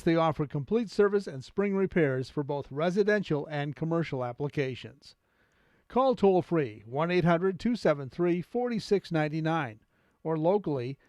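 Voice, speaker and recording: A man's voice: a little high, a little mellow, dry recording. A man with an American accent reading scripted radio commercials. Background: music.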